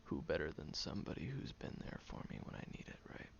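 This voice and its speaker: A man playing a prickly, guarded young loner who grudgingly cares, in an intimate one-sided audio roleplay, sardonic and hesitant with breathy murmurs. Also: quick pace, close to an everyday voice. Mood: reluctantly vulnerable. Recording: muffled, lo-fi, noticeable background hiss.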